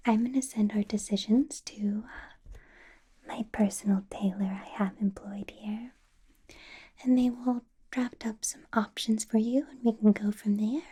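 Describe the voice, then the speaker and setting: A woman playing a doting, possessive female vampire boss in an intimate ASMR roleplay, coaxing and flirting in a breathy whisper. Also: mid-range voice, mellow. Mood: pleased, tender. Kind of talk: ASMR roleplay, personal attention.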